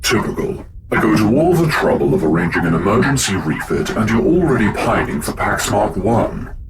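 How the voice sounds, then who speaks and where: A man's voice: fairly low pitch, big pitch swings, moderate pace, a loud crowd. A man playing an imperious robot leader with a commanding, declamatory delivery in a sci-fi drama. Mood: scornful, boastful. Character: imperious, gruff male robot leader of a new government. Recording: loud background ambience noise.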